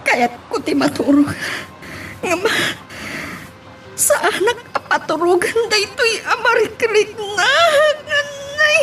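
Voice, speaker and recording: A woman's voice: very high-pitched, animated, slow, music in the background, exaggerated voice. A woman with an Ilocano accent playing a weary, ailing mother in an amateur audio drama, plaintive and whimpering. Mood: suffering, tearful. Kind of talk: amateur Ilocano radio-style drama acting.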